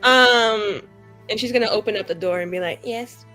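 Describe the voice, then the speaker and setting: A woman casually improvising her character's actions as a player in a tabletop game, a bit halting. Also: medium pitch, bright, forceful tone, faint music behind.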